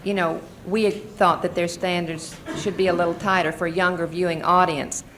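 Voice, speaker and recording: Middle-aged american woman testifying at a hearing, lowish voice, explains things earnestly, noisy old tape. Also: little pitch movement, moderate pace, fairly bright tone. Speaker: advocacy group witness and mother. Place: Senate committee hearing room. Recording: noisy archival hearing-room recording.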